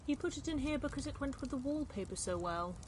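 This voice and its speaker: A woman's voice: high voice, expressive, fast talker, a little mellow, slight background noise. A woman playing a chatty manor informant in a video game, explaining things in a casual, gossipy way. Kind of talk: scripted game character acting.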